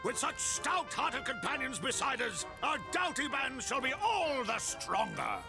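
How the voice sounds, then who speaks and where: A gravelly blustering old king. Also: big pitch swings, unhurried, music in the background, heavily acted. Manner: pompous, booming declarations. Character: blustering older king, hearty and grandiose. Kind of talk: game cutscene acting.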